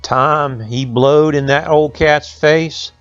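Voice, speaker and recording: A man's voice: a little high, fairly even intonation, slow, clear, resonant tone, muffled recording, faint music behind. A man telling a folk tale in a folksy oral style, with rustic phrasing and conversational asides. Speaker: storyteller.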